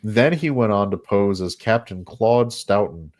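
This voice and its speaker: Low-voiced man retelling history casually. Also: a little slow. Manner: relaxed, laughs often while retelling. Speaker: podcast host telling the story. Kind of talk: casual storytelling with banter.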